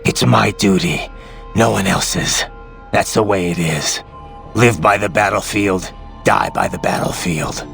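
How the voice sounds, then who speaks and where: An actor playing a grim, weary, duty-bound cyborg soldier in a video game, with a gravelly voice and brooding, terse manner. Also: male voice, deep voice, unhurried, fairly bright tone, faint music behind, heavily acted. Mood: bitter, brooding.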